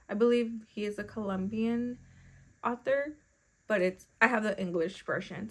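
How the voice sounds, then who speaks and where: Young woman vlogging about books, spanish is her first language, chatty and rambly, kinda gravelly. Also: medium pitch, a bit bright. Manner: chatty, rambling, self-correcting. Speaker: book vlogger. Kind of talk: casual chat to camera.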